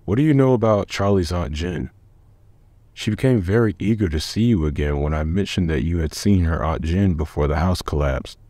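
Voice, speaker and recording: A man playing a worried middle-aged police chief in a comic dub, grave, measured and guarded. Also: low voice, mellow, no echo. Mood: probing.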